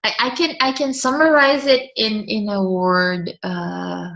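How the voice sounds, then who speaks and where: A woman's voice: mid-range voice, fairly even intonation, a little slow, gravelly, a bit bright, lo-fi sound. A woman, a guest speaking hesitantly and often restarting her words. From Philippines. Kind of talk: conversational interview.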